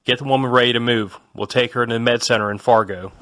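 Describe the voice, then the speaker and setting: An actor playing a calm, decisive army major giving clipped orders in an audio drama. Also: male voice, mid-range voice, quick pace, close to an everyday voice, low-quality recording. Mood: calm, commanding. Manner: commanding, clipped. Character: calm, decisive male military major leading a squad.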